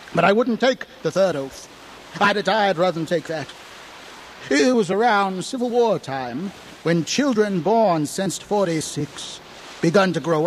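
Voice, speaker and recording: A man's voice: sits high, slight background noise, rough recording. An actor playing a frightened, half-mad old drunken townsman in an audio horror drama, cackling and rambling in folksy dialect. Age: older.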